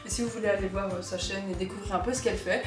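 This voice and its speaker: A woman's voice: medium pitch, steady tone, very fast. A woman hosting a vlog, chatting casually to camera in a bubbly, exclamatory way. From Mons, Belgium. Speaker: YouTuber hosting a gift-swap video. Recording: roomy, distant mic, music underneath. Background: background music.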